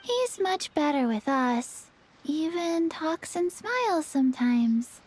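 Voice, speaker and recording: A woman voicing a quiet, deadpan small child in a video game, speaking in terse, halting phrases. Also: sits high, a little hiss or hum, full character voice. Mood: flat, gentle. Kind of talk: video game character acting.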